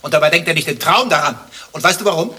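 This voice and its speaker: An actor playing a jealous, obsessive husband in a satirical German radio play, accusatory, insistent and pedantic. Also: male voice, on the high side, lively intonation, quick pace, bright, forceful tone, breathy edge, full character voice. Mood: indignant, scornful. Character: jealous, obsessive husband interrogating his wife and her suspected lover.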